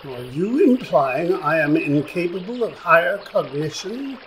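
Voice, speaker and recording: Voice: mid-range voice, very animated, measured pace, slight background noise. An actor in a radio play voicing a pompous, wordy magic fish who speaks in florid, old-fashioned phrases. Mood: florid, dramatic. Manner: pompous, florid archaic diction.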